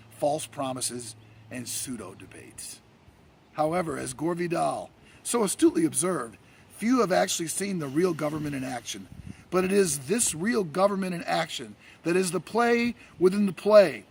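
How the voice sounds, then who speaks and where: A man with a high voice full of gravel delivers a scripted political speech as if pleading before a court. He is emphatic and declamatory, pausing for dramatic weight. A faint noise sits behind the recording. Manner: declamatory, emphatic, with dramatic pauses and rhetorical questions. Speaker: writer reading own essay. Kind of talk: theatrical courtroom-style oratory, scripted political monologue.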